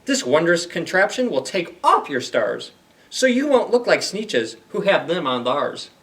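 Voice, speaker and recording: A sly swindler from a storybook, played by a man reading aloud, works his sales patter in a highish voice. He wheedles and smooth-talks like a practiced salesman. The sound sits a little away from the microphone. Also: sing-song, a little slow, a bit bright, distant mic, exaggerated voice. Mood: persuasive.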